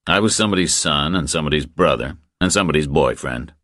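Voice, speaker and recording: A man's voice: rumbling low, animated, quick pace, a bit bright, dry recording, clean studio sound, full character voice. A man voicing a laconic, easygoing ex-Army drifter in an audiobook, terse and understated. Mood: dry.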